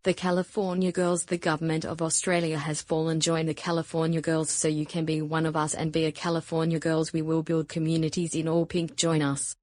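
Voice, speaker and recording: Female propaganda announcer, slightly low voice, extremely monotone synthetic read. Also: fairly quick, few pauses, no echo. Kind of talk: scripted propaganda message.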